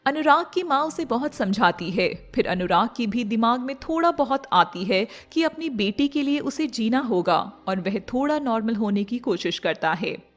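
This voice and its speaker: A woman's voice: on the high side, animated, normal speed, hardly any pauses. A woman reading scripted storybook narration as the narrator of a moral tale.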